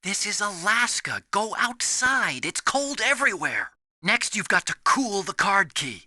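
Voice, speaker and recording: A nerdy young American engineer in a video game. His voice is high and very bright, swinging widely in pitch as he explains things with earnest, anxious emphasis. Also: very animated, a little slow, dry recording, clean studio sound, heavily acted. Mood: urgent instructing. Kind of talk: dramatic video game voice acting.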